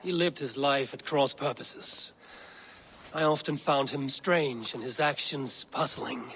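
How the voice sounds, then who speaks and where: A stoic Viking raider in a dramatic game. He is blunt, grave and restrained, mid-pitched, over faint ambient noise. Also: measured pace, fairly bright tone. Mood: measured, solemn. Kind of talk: dramatic video game acting.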